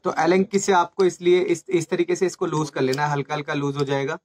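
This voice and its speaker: A man's voice: fairly high pitch, level tone, fairly quick, a little mellow. A man giving a hands-on tutorial, explaining step by step in a small indoor room. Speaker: presenter of a motorcycle gear channel. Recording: faint background noise with clicks.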